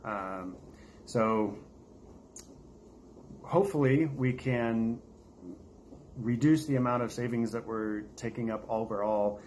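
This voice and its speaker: An American man chatting casually to viewers as the host of a vlog. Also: lowish voice. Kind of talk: casual chat to camera. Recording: steady noticeable background noise.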